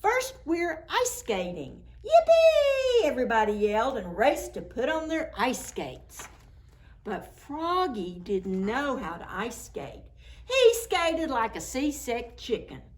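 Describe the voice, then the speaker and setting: Casting: woman storytime reader for small children, higher voice, highly animated, unhurried, playful. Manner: playful, acts out sound words. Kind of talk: expressive picture-book read-aloud for preschoolers.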